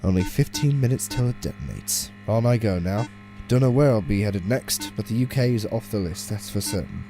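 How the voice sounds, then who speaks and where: A man playing a cool, sardonic alien mastermind in a fan audio drama, speaking in dry, deliberate monologues. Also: lowish voice, natural voice. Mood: wry. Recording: music clearly under the voice.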